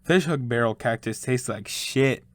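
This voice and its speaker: A man's voice: mid-range voice, unhurried, dry recording, lightly acted. A man playing an impatient, sarcastic young shooter in an audio drama, speaking casually.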